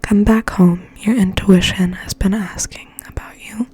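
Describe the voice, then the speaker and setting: Female ASMR reader: breathy whisper, mellow and dark, gentle and unhurried, faint room noise underneath. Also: medium pitch, fairly even intonation. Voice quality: breathy, mostly unvoiced whisper. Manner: slow, gentle, hushed. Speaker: ASMR host and poetry reader. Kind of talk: whispered ASMR poetry reading with soft chat.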